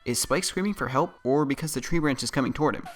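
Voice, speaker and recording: A woman's voice: very deep voice, fast, noisy background. A woman delivering scripted, nitpicking commentary as a parody reviewer in a sarcastic, mock-critical manner.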